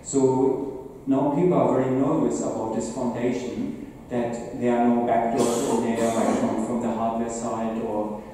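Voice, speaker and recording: A man's voice: mid-range voice, little pitch movement, mellow. Echoey, distant German-accented man presenting. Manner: halting, hesitant phrasing with repeated words. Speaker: conference speaker. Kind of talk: informal presentation on cybersecurity. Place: indoor meetup presentation room with seated audience. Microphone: distant camera at back of room. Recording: roomy echoing hall, distant mic, faint noise.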